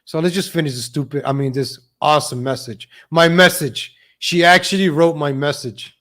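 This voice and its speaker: A man's voice: fairly high pitch, steady tone, moderate pace, fairly bright tone. A man hosting a live stream of political gossip commentary, mocking and sarcastic, repeating phrases for emphasis.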